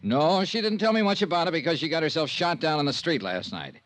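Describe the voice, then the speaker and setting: A man's voice: fairly high pitch, animated, quick pace, fairly bright tone. A man playing a tough, wry insurance investigator in 1950s radio style, clipped, dry and sardonic. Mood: brusque, sardonic. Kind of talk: 1950s radio detective acting. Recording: noisy vintage radio recording.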